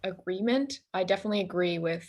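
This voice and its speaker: A woman's voice: lowish voice, fast talker, a little mellow. Young woman student on a video call, earnest, lots of ums, playing the economist. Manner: earnest, agreeable, many fillers. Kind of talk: classroom role-play discussion.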